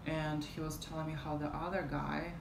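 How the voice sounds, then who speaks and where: Young woman vlogger, low flat gravelly voice, fan humming in the background. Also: moderate pace. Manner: rambling, many fillers and restarts. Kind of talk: casual storytime vlog. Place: small room at home, indoors, fan running. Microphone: camera in front at arm's length. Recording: roomy with fan noise behind. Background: fan hum.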